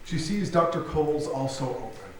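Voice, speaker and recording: A man narrates stage directions from a script at a staged reading. His voice sits a little high. He is heard from a distance in a very reverberant theatre, with faint noise. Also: expressive, normal speed.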